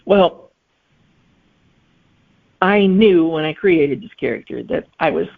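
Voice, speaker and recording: A middle-aged woman with a gravelly, creaky voice, talking as a guest author. She answers in an unhurried, thoughtful way and often pauses to find her words. The sound is thin and phone-like. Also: low-pitched, expressive. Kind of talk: conversational interview answers. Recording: phone-like remote line.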